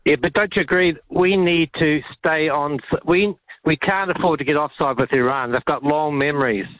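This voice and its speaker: A man's voice: a little high, steady tone, moderate pace, a bit bright, no echo, lo-fi sound. A man calling a radio show, earnestly arguing his point with restarts. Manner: earnest, argumentative, restarts a lot. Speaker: caller. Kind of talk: talkback call.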